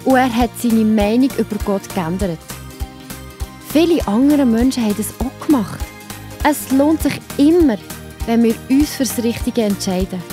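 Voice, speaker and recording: A woman's voice: fairly high pitch, animated, normal speed, mellow, faint music behind. A woman with a Swiss accent narrating a scripted Bible story for children.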